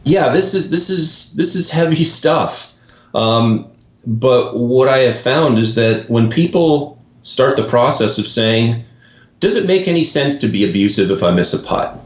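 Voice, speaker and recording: A man's voice: mid-range voice, animated, a little slow. A man from Canada with a Canadian accent, a guest in an interview, who explains ideas thoughtfully with examples and often restarts his phrases. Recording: roomy, dull lo-fi audio.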